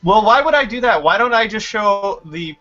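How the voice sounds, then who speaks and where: A young man hosting a casual group voice chat, talking quickly and a little scattered while he works on his computer. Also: sits high, lively intonation, pushed and bright. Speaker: stream host. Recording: lo-fi mic with faint noise.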